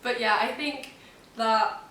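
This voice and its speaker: A woman's voice: fairly high pitch, steady tone, slow pace, a bit bright, echoey room, distant mic, slight background noise. A sixteen-year-old woman from France speaking casually and thoughtfully in a documentary interview.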